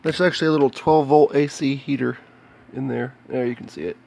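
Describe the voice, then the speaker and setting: An American man talking casually and off the cuff as he shows electronics, explaining in a halting, plain-spoken way. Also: medium pitch, steady tone, gravelly, a little mellow. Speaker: hobbyist video maker showing electronics. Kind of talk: casual unscripted show-and-tell. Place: indoors, cluttered home workshop.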